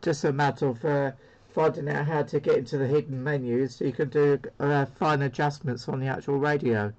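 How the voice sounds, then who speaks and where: A man's voice: fairly high pitch, fairly even intonation, fairly quick, a little mellow. A man talks casually and without a script, as a hobbyist showing gear. He hesitates and rambles as he goes. The recording is slightly dull and lo-fi. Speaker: CB radio hobbyist presenting a demo. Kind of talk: casual unscripted product demo. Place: indoor radio room.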